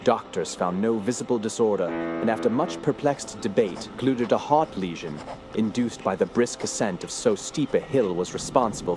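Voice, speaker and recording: An actor playing a grave, brooding gentleman scholar who narrates a horror audio drama in a formal, measured, ominous way. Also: on the low side, lightly acted. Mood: somber, reflective.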